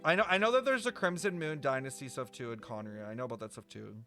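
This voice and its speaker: A man's voice: mid-range voice, quick pace, bright, forceful tone, faint music behind. A man livestreaming his reaction to a game, dramatic and gushing, with heavy slang, swearing and repeated exclamations.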